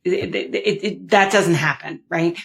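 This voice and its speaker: Gravelly-voiced woman podcast host. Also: on the low side, steady tone, unhurried. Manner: friendly, rambling question with restarts. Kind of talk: conversational interview.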